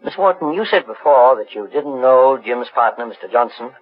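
An actor playing a calm, authoritative gentleman detective in a measured, formal 1940s radio mystery style. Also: mid-range voice, breathy edge. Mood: probing. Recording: muffled, dull 1940s radio recording.

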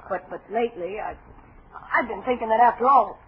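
An actress in a 1940s radio drama playing a chatty, wary young vaudeville dancer, with an American accent and a halting, slangy way of talking. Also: female voice, a little high, lightly acted. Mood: chatty, nervous. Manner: halting, breezy, slangy show-business talk. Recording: muffled, noisy 1940s radio recording.

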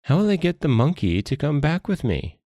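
Guy doing an eager dopey jellyfish for a kids story, raised voice, bouncy pitch, coaxing. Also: big pitch swings, fairly quick, warm tone, dry recording, studio quality. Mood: obedient, unsure. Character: naive, eager, foolish male jellyfish servant. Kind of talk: storybook character voice.

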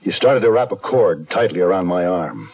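Low-voiced hard-boiled fugitive narrator. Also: male voice, animated, moderate pace, husky, full character voice. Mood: terse, determined. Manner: terse, hard-boiled storytelling. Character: hunted man on the run narrating his own thriller. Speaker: narrator and lead character. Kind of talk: 1970s radio drama narration. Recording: dull 1970s radio recording, music behind.

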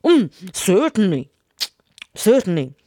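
A woman's voice: on the low side, big pitch swings, takes it slowly, a little hiss or hum. A woman voicing a poor, crafty woodman who loves his food, confiding and wheedling in a storybook tale. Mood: greedy. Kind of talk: storybook character voice.

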